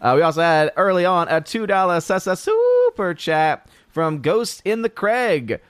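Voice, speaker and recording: A man's voice: sits high, very animated, unhurried, smooth, no echo. A man hosting a casual livestream, greeting viewers in the chat by name with playful hails. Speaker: livestream host.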